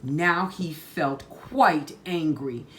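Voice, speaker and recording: A woman reading a children's story aloud in a deliberate, emphatic, dramatic way. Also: low voice, a bit bright. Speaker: storytime reader.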